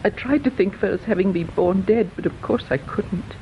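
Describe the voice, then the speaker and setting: An older actress playing a mother who haltingly guards an old family secret in a radio drama. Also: mid-range voice, a little hiss or hum, lightly acted. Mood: strained. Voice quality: hesitant, faltering. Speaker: witness. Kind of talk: 1970s-style radio drama acting. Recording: dull lo-fi radio recording.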